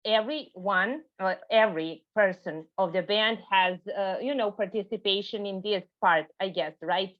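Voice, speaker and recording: A woman's voice: medium pitch, moderate pace, few pauses. A woman interviewer asking questions in a chatty way, with many fillers and "you know"s. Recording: dull lo-fi sound.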